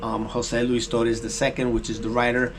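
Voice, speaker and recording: Halting man, fillers, noisy recording. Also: medium pitch, little pitch movement. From United States. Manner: halting, full of fillers and restarts. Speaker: guest actor and producer. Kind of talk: casual interview.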